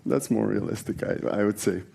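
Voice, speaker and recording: A man's voice: lowish voice, brisk, gravelly, warm tone, slight background noise. A man in his forties from Serbia, giving a conversational conference talk with many fillers and restarts. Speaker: conference speaker. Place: large conference hall.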